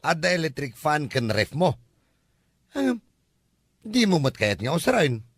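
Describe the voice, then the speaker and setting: Man playing nosy teasing visitor, animated swooping pitch, dry close sound, Ilocano accent, audio comedy. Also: measured pace, breathy edge, dry recording, heavily acted. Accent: Ilocano Filipino. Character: nosy, teasing Filipino man visiting a woman's boarding room.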